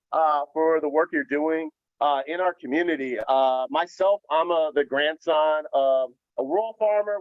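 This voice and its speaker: A man giving a conference keynote with a loud, energetic voice, joking and inviting the audience to take part. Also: fairly high pitch, no echo, dull audio. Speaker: keynote speaker, nonprofit founder.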